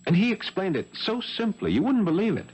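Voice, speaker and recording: A man's voice: high voice, big pitch swings, brisk, a little mellow, slightly rough, full character voice. An actor playing an anxious young French policeman in love, hesitant and stammering, in a 1970s radio mystery drama. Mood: earnest. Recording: dull 1970s AM radio broadcast.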